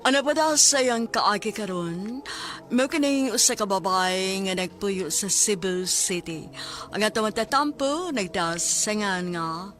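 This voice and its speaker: A woman's voice: medium pitch, measured pace. A woman with a Cebuano Filipino accent reading scripted narration as announcer of a radio drama. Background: music.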